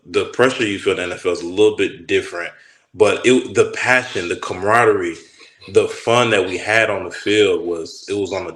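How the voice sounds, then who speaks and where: A man in his thirties from Texas, a former pro football player, talking in a relaxed, rambling way with many restarts as an interview guest. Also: low-pitched, roomy sound, rough recording. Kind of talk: casual interview talk.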